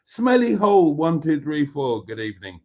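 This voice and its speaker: A man's voice: a little high, very animated, moderate pace. An older man from Wales, Welsh his first language, hosting a casual live stream, chatting in a rambling, wry way as he reads out viewer comments. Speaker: livestream host.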